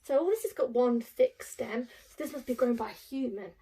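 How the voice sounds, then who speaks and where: Casting: woman, higher-pitched voice, primary teacher doing an expressive read-aloud for young pupils with simple asides. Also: fairly quick, slightly rough. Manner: addresses young children, explaining simply with rhetorical tag questions. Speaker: infant school teacher reading to pupils.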